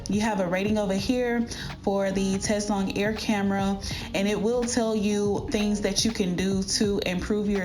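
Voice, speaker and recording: A woman with a slightly raspy voice talking casually to viewers, explaining tips like an online creator. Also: medium pitch. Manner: addresses viewers directly, step-by-step explaining. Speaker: YouTuber giving channel growth tips. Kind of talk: casual instructional vlog talk to viewers. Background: background music.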